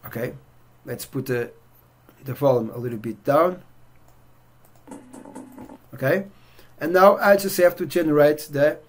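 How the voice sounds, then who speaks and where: A middle-aged man from Portugal with a Portuguese accent, walking through a software demo in a relaxed way with many fillers. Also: a little high, measured pace, long pauses, soft background music. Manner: relaxed, filler-heavy walkthrough. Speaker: software reviewer. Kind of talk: casual screen-share demo. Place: indoor room at home.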